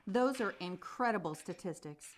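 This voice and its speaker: An actress playing a composed, probing news reporter conducting a formal interview in a radio play. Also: female voice, medium pitch, animated, moderate pace, slight background noise, lightly acted.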